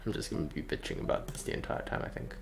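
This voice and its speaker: A man streaming in a casual, rambling way, thinking aloud with hesitant phrasing. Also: rapid-fire. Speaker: streamer, game developer. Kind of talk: casual livestream chat. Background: music.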